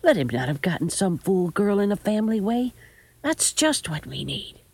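A woman's voice: mid-range voice, very animated, moderate pace, creaky, a little mellow, husky, voice pushed up, heavily acted. A woman voicing a stern old country grandmother, grimly exasperated, who mutters and scolds. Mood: muttering.

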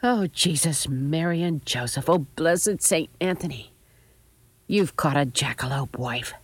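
A woman's voice: sits low, measured pace, breathy edge, no echo. A woman voicing a stern old country grandmother, grimly exasperated, who mutters and scolds. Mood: dismayed.

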